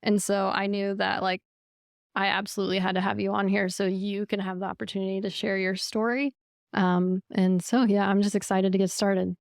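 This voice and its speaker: A woman's voice: mid-range voice, level tone, normal speed, gravelly, no echo, studio quality. A woman hosting a podcast interview, chatty and casual, with heavy use of "like" and "um". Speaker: podcast host.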